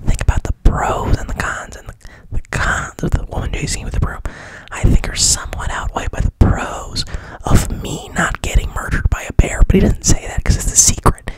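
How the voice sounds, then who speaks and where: A man whispering an ASMR retelling of a film plot into a mic, rambling and deadpan, with constant swearing. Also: moderate pace, rough recording. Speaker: ASMR host. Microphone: studio mic.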